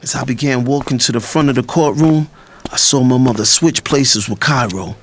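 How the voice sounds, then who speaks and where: A man's voice: medium pitch, normal speed, slight background noise, lightly acted. An actor playing a resolute, self-sacrificing younger brother who narrates his story in the first person. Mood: narrating, grave. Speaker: audiobook narrator.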